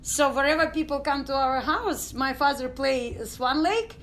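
A middle-aged woman from Ukraine with a Ukrainian accent, telling her story as an interview guest in halting English full of fillers. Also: sits high, fairly bright tone. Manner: halting non-native English with many fillers. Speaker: guest, dance company founder.

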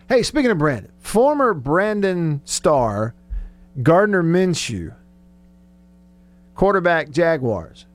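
A man hosting casual sports talk radio, chatty and joking as he reads out listener texts. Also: fairly high pitch. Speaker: radio show host. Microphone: close studio radio microphone.

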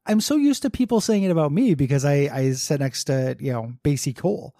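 A man with a slightly higher voice co-hosts a casual podcast, rambling from one pop-culture riff to the next and laughing often. The recording is dry and close, with no room echo. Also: lively intonation, brisk, warm tone. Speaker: podcast co-host. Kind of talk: casual chat.

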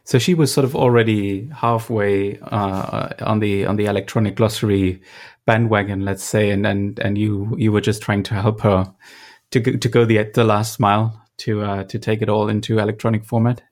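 A man's voice: lowish voice, little pitch movement. A man interviewing a guest, asking his questions with many ums, uhs and restarts. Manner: hesitant, fills pauses with uh and um.

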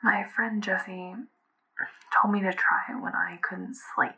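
Hushed, halting young woman, anxious and haunted. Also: mid-range voice, fairly even intonation, poor audio. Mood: hushed, uneasy. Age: young adult. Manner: halting, hushed, breathy whispering. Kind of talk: found-footage audio drama monologue.

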